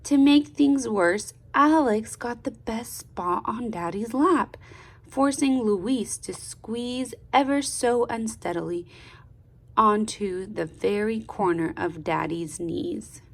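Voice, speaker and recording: Slow-reading woman, creaky voice, storybook read-aloud. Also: a little high. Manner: deliberate, expressive teacher-like delivery with pauses and prompts. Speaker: speech therapist reading aloud to children. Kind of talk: children's storybook read-aloud with questions to the listener.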